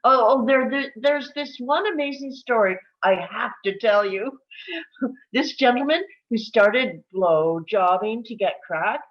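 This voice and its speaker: A woman's voice: fairly high pitch, expressive, a little slow, muffled recording. A woman playing a chatty, blunt older divorced mother in a comedy table read, breezy and melodramatic. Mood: breezy, enthusiastic.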